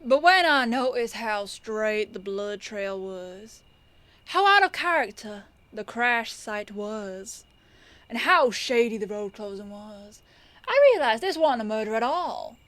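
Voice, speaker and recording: Woman playing a female police lieutenant, slightly high voice, dry close sound, measured, knowing, firm but kind, audio roleplay. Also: expressive, a bit bright, no room sound. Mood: smug, explaining. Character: composed female police lieutenant detective, firm yet kindly.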